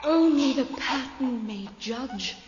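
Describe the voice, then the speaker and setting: A woman's voice: sits high, lively intonation, slow pace. An actress playing a defiant noble lady, proudly resigned to her fate, in an audio drama. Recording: echoing hall, dull, noisy.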